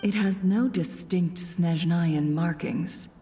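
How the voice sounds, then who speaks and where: Composed, low-voiced shrewd traveler. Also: female voice, takes it slowly, dark tone, a little hiss or hum. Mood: calculating. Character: shrewd woman traveler posing as antiques smuggler. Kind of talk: video game voice acting. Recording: music under the voice.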